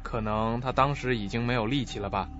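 A man's voice: medium pitch, fairly even intonation, a little fast, a bit bright, muffled recording, natural voice. A man playing a greedy, crooked lawyer in a suspense radio play, with an oily, ingratiating manner. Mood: evasive. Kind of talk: audio suspense drama acting. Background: music.

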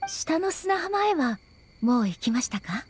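A woman voicing a dignified, gentle female ruler in a Japanese anime game, speaking politely and formally with measured composure. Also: high-pitched, big pitch swings, faint music behind. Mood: serene, nostalgic.

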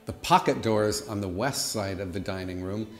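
A man's voice: on the low side, moderate pace, gravelly, soft background music. A middle-aged man chatting casually to the camera as he gives a tour of his large old house. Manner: chatty, friendly tour-guide delivery. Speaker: home vlogger giving a house tour. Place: large old house with high-ceilinged rooms, indoors.

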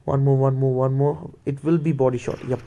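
A man's voice: medium pitch, little pitch movement, brisk, dark tone, dull audio. A man with an Indian accent, a native Hindi and Punjabi speaker, giving casual play-by-play commentary as he games. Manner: mutters play-by-play, slips into Hindi. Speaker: gaming video creator.

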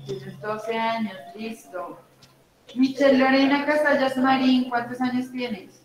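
A young adult woman teacher with a Colombian accent, taking roll in an online class on a laptop mic, brisk and repetitive. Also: fairly high pitch, fairly even intonation. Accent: Colombian Spanish. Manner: brisk, repeats names and ages, says "listo". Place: indoors at home on a video call. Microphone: laptop mic on video call. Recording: very echoey room.

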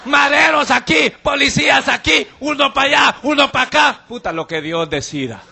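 A man with a Guatemalan accent doing a crude, shouting devil doctor character in a live stand-up act on a handheld mic. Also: very high-pitched, animated, normal speed, creaky, some chatter behind, exaggerated voice. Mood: rowdy. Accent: Guatemalan Spanish. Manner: shouting, vulgar, mocking. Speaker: stand-up comedian. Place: outdoor-style stage at a live charity show.

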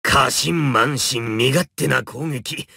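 A man's voice: gravelly, pushed and bright, breathy edge, dry recording, clean studio sound, exaggerated voice. An actor playing an eccentric, courteous doctor in a video game, philosophical and theatrical in his delivery. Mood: pensive. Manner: courteous, measured, theatrical, swinging from grave murmurs to high exclamations. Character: eccentric male doctor with a paper-bag head, polite and philosophical. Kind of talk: video game victory lines, theatrical Japanese voice acting.

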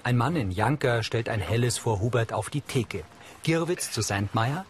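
A man's voice: medium pitch, lively intonation, moderate pace, over loud music. A man reading scripted audio description for a television drama in a matter-of-fact way. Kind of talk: scripted narration.

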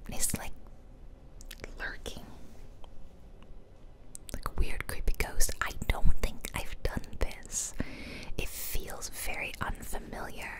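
A woman hosts gaming ASMR in a soft, unvoiced whisper right at the microphone. She chats casually and playfully about her game, poking fun at herself. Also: takes it slowly, long pauses. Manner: playful, self-deprecating, chatty. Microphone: close ear-to-ear ASMR microphone.

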